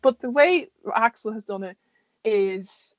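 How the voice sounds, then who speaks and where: An Irish woman in a casual, gushing fan chat, excitable, giggly and sweary. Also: a little high, unhurried, no echo, lo-fi sound. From Ireland. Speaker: co-host of a fan tribute chat. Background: music.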